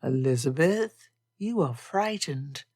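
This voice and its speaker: A woman voicing a proud, ardent gentleman suitor in a period romance audiobook, with formal, measured, courtly phrasing. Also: deep voice, expressive, no echo, studio quality, pitched below the performer's own voice, exaggerated voice. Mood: grave, measured.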